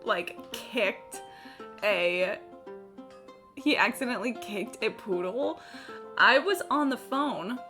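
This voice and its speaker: Bright, sweary woman streaming a game. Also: fairly high pitch, takes it slowly, long pauses. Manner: sweary, expressive. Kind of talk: casual live-stream gaming chatter. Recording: stream with faint game music behind. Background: game music.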